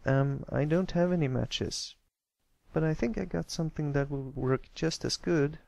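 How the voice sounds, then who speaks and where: Man as a timid, high-voiced little pony colt. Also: a little slow, vocal fry, darkish tone, no room sound. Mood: sheepish. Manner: hesitant, apologetic.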